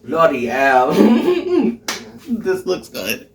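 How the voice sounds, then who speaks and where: A man's voice: high-pitched, wildly swooping pitch, very slow, far from the mic, slight background noise. A young man in drag hosting a home cooking vlog, bubbly and giggly, swearing often as he tastes his food. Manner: bubbly, giggly, swears often, puts on a mock Irish lilt. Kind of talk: casual cooking vlog chat. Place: home kitchen, indoors. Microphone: camera mic from a few feet away. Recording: roomy kitchen echo, off-mic.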